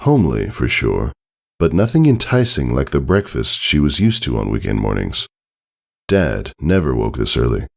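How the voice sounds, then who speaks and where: A man reading a scripted story as narrator, with dramatic, measured phrasing and deliberate pauses. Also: a bass voice, vocal fry, darkish tone. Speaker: fan fiction narrator. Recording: music under the voice. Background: background music.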